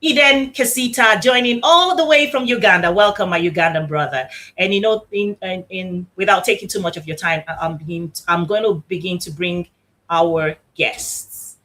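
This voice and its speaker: Bright-voiced upbeat woman hosting a show. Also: lowish voice, normal speed. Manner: upbeat, enthusiastic, welcoming. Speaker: talk show host. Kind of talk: live online talk show hosting.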